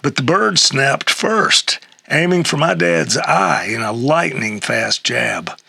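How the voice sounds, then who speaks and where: An older man reading a humorous memoir essay aloud in a halting way, with a gravelly, husky voice. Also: medium pitch, lively intonation, bright, forceful tone, no room sound. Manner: halting read-aloud with stumbles and chuckles. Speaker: reader of an essay excerpt.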